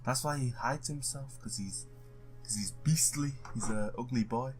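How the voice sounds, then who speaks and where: A man's voice: medium pitch, normal speed, faint music behind. A man doing a let's play, reading visual novel text aloud, stumbling now and then and blurting his own reactions.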